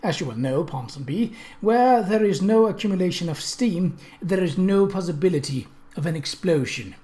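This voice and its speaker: A narrator voicing a disdainful, earnest English gentleman motorist who lectures precisely, in a storybook reading. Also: medium pitch, animated, moderate pace, pitched above the performer's own voice, close to an everyday voice. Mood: mild scorn. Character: tall thin English steam-car driver, disdainful and fervent.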